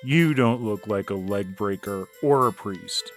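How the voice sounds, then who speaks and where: A man's voice: lowish voice, unhurried, faint music behind. A man playing a proud, guarded sergeant of gendarmes in an audio story, speaking in blunt, terse questions and remarks. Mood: wary. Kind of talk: audio fiction character reading.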